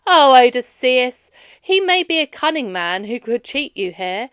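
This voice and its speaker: A woman's voice: sits high, sing-song, a little slow, a bit bright, clear, resonant tone, no room sound, studio quality, voice pushed up, heavily acted. A woman voicing a wise, assured and teasing goddess from a children's storybook, with emphatic, instructive phrasing. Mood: amused, proud.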